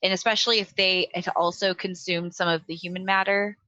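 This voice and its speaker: A woman with a bright, clear-cut voice at a middle pitch, recorded dry. She plays a tabletop game, casually thinking through ideas aloud in measured phrases. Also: fairly even intonation, no room sound, highs cut off. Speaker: tabletop role-playing game player.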